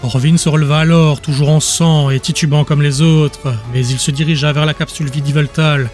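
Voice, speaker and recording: A man's voice: a little high, steady tone, a little fast, darkish tone. A man reading dramatic scripted narration as an audiobook narrator. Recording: music behind the voice.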